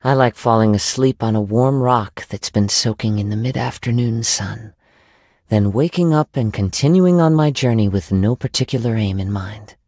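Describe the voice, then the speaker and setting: A young man playing a gentle, poetic wandering samurai in a video game, soft-spoken and reflective, with formal, measured phrasing. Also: lowish voice, no echo, clean studio sound. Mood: serene, reflective. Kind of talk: video game character voice lines.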